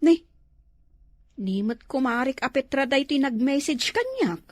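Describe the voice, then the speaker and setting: A woman's voice: sits high, sing-song, a little slow, dry recording, heavily acted. A woman playing a mischievous, gossiping village neighbour in a comedy drama, with an Ilocano accent. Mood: casual.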